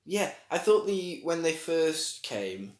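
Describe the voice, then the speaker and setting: A man's voice: on the high side, big pitch swings, takes it slowly. A man co-hosting a casual review podcast, sarcastic, swearing and trailing off mid-thought. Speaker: podcast co-host. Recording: echoey room.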